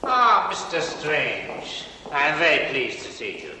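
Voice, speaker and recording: Old TV drama: silky, pious cult leader, lively and bright, echoey room. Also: male voice, a little high, full character voice. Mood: smooth. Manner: pious, ingratiating, theatrical pauses. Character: smooth, grandiose Norwegian-born male sect leader. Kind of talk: 1960s television drama acting. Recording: echoey hall, faint hiss.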